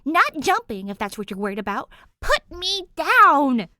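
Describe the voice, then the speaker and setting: A woman's voice: high voice, sing-song, measured pace, sharp tone, no room sound, studio quality, voice pushed up, exaggerated voice. A woman voicing a stubborn, feisty young girl with a blunt, indignant manner in a fan fiction reading. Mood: indignant, loud.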